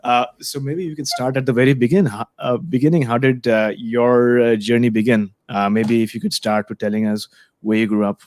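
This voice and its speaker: A man's voice: medium pitch, fairly even intonation, fairly quick, a little mellow. A man hosting a spiritual podcast, reading an upbeat intro and asking questions over a video call. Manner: upbeat, scripted intro with fillers. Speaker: podcast host.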